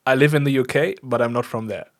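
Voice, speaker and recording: A man's voice: medium pitch, fast talker. A man from Germany with a German accent, chatting casually and in an easygoing, self-deprecating way as he codes on a livestream. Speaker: live coding streamer. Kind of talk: casual livestream chat while programming. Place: indoors at a computer desk.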